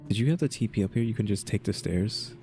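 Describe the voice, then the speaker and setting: A man's voice: lowish voice, animated, fast talker. A man doing casual let's play commentary, laid-back and musing aloud as he plays. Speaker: gaming streamer. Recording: game music under the voice.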